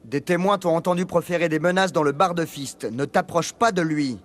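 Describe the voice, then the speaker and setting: An actor playing a stern, authoritative security officer in a video game. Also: male voice, a little high, fairly quick, faint music behind, natural voice. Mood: stern warning. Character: stern male security officer giving warnings.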